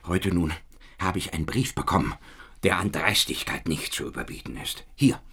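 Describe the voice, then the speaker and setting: An actor playing an excitable, indignant father with a husky voice, swinging between outbursts and mutters. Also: low-pitched, moderate pace, exaggerated voice. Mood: aggrieved. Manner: agitated, swinging from shouts to mutters. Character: excitable middle-aged father, indignant and frantic.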